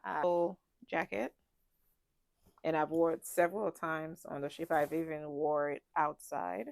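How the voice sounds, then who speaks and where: A woman's voice: low voice, steady tone, a little slow, gravelly, no echo. A woman with a congested, stuffy voice chatting casually as a travel vlogger, rambling with many ums and restarts. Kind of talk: casual packing chat to camera. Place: ship cabin.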